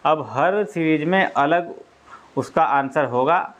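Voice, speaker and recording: A man with a slightly high voice talks as an exam coach. He explains things informally, point by point, and goes back over each one with frequent little checks for understanding. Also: slow. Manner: repetitive, explanatory, often checks with "okay".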